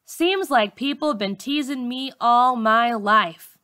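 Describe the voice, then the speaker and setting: A woman narrates a story in the first person as a teacher reading aloud would. Her bright, fairly high voice moves at a slow, deliberate pace, with dramatic emphasis and expressive phrasing. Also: clean tone.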